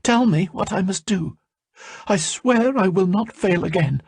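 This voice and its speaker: A man voicing a frightened, imperious female temple priestess in a shrill, pleading voice. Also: unhurried, gravelly, slightly rough, no echo, studio quality, heavily acted. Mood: desperate pleading.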